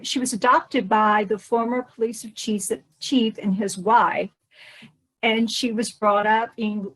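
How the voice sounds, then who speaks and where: A woman's voice: medium pitch, poor audio. A woman guest author talking conversationally, explaining the story of a book.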